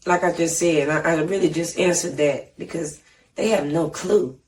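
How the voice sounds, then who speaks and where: Southern woman, low voice, chatty rambling guest, a bit distant on mic. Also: moderate pace. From Atlanta. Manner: animated, rambling, frequent "you know what I'm saying". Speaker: guest artist. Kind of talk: casual interview.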